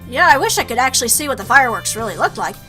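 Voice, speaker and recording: Bright, lively woman reading a game aloud. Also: a little high, rapid-fire, faint music behind, low-quality recording. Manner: reacts aloud while reading, with asides. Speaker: gaming video host. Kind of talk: let's play commentary, reading game text aloud.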